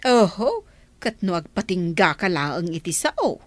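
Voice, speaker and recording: An actress with an Ilocano accent playing a proud, moralizing older mother with a sharp tongue in a comedy radio drama. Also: on the low side, very animated, a little slow, no echo. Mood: scolding.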